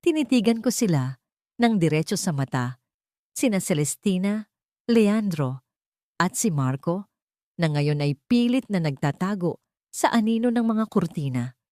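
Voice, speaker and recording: A woman's voice: expressive, unhurried, long pauses, warm tone, no room sound, clean studio sound. A woman with a Filipino accent narrating a dramatic audio story in the first person, lifting her voice for the characters' lines. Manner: rises in pitch and volume for quoted dialogue.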